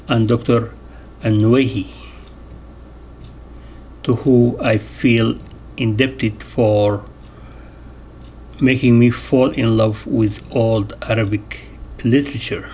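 A man reading an interview transcript aloud in a measured way, announcing each question and answer. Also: mid-range voice, gravelly, darkish tone, a little hiss or hum. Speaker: voice-over reader of an interview transcript.

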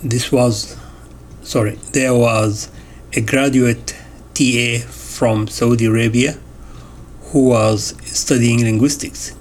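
A man reading an interview transcript aloud in a measured way, announcing each question and answer. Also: mid-range voice, fairly even intonation, darkish tone, slight background noise. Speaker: voice-over reader of an interview transcript.

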